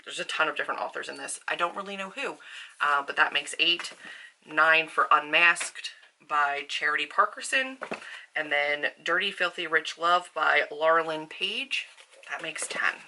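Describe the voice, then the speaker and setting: A woman with a fairly deep voice and a very bright, forward tone. She talks casually and upbeat, like a vlogger at home, with informal phrasing and little asides. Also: a little slow, slight background noise. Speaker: book vlogger and author. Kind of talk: casual chatty vlog. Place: indoors at a desk at home.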